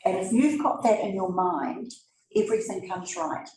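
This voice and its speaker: A woman's voice: mid-range voice, expressive, moderate pace, warm tone, a little hiss or hum. A woman with a New Zealand accent giving a conversational talk to a live audience, turning to the listeners with asides. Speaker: presenter. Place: event room. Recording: roomy, from a distance.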